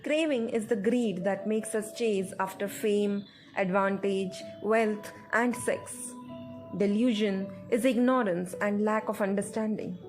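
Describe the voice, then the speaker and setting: A woman narrating a scripted guided meditation in a measured, instructive way. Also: medium pitch, a little mellow. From India. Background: background music.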